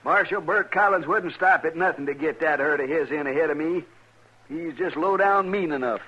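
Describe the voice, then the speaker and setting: An actor playing a fretful, pompous cattle drover with a rural Western American accent in an old-time radio drama. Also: male voice, a little high, normal speed, bright, forceful tone, husky. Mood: aggrieved, worried. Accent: rural American West. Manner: blustering, pompous. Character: fretful, indignant, long-winded male cattle drover. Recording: dull vintage radio recording.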